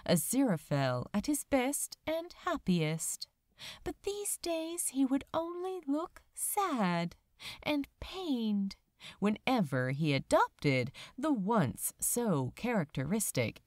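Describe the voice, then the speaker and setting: A woman reading fiction aloud as an audiobook narrator, dramatically, with lingering phrases and pauses. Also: medium pitch, a little mellow, no echo, studio quality. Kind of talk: expressive scripted fiction narration.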